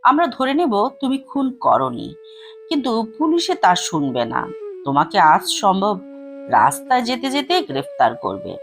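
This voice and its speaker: A woman's voice: fairly high pitch, huge pitch swings, measured pace, soft background music, full character voice. A woman voicing a stern, brusque male lawyer who scolds and instructs with authority in an audio story. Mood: shrewd, instructing. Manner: scolding, commanding, clipped instructions.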